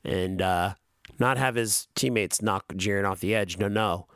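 A man's voice: fairly low pitch, measured pace, a bit bright, dry recording, studio quality. Rambling, profane man on a podcast. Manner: rambling, profane, frequent fillers. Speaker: podcast co-host. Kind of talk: casual podcast commentary.

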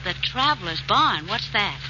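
An actress playing a detective's witty, sardonic young sweetheart, with dry playful quips and an American accent, in 1940s radio drama style. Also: female voice, mid-range voice, very animated, moderate pace, background noise, natural voice. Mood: dryly sardonic. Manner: dry, playful, arch quipping. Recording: muffled old radio recording with music.

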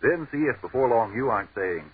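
A man's voice: mid-range voice, expressive, brisk, a little hiss or hum. A man announcing and reading a commercial in a persuasive, emphatic 1940s radio style. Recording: tinny old radio broadcast.

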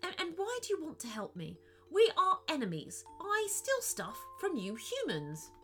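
A woman voicing a squeaky, scheming male rat thief in a storybook reading. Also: huge pitch swings, moderate pace, sharp tone, faint music behind, heavily acted. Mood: wary. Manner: sly, turns menacing.

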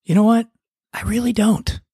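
A man's voice: sits high, steady tone, normal speed, mellow, breathy edge, no echo, exaggerated voice. A man reading a rueful, dry fellow haunted by his dead brother, in a script table read. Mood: irritated. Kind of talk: dramatic table read of TV pilot.

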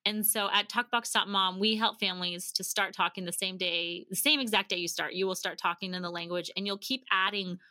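A woman's voice: mid-range voice, brisk, hardly any pauses, bright, forceful tone, dry recording. A woman speaking as a podcast guest, chatting and telling stories conversationally, acting out bits of dialogue as she explains. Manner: chatty, acts out quoted dialogue. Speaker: podcast guest, language-learning company founder. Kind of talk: animated conversational storytelling and explaining.

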